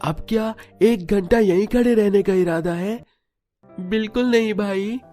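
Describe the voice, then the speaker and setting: A man's voice: sits high, a little slow, mellow, faint music behind. A man voicing a defiant, cheeky schoolboy in a cartoon story, with an insolent manner. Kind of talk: Hindi cartoon story dialogue.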